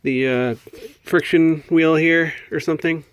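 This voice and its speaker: A man's voice: on the high side, steady tone, a little slow, clean tone, no echo. An American man giving a casual show-and-tell, thinking aloud in a hesitant, puzzled way. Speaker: hobbyist video maker. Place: indoors at a home workbench.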